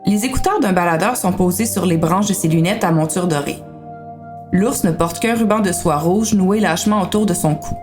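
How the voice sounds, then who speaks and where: A woman's voice: on the low side, moderate pace, music in the background. A woman with a Quebec French accent reading scripted story narration.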